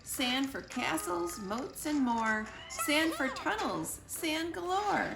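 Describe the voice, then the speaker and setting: A woman reading a children's picture book aloud in an expressive storytime style, with deliberate, playful emphasis on the rhyming words. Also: high-pitched, creaky. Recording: roomy echo with music behind. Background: music.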